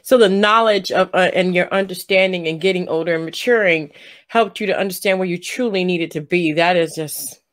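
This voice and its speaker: A middle-aged woman hosting an interview over a video call from a room at home, affirming her guest and asking gentle open questions. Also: lowish voice, a little fast. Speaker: interview host. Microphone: webcam on a video call.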